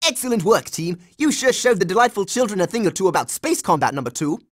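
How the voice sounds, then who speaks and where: A man's voice: sits high, big pitch swings, fast. A man voicing an earnest, commanding boy team leader in a cartoon video game. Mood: approving.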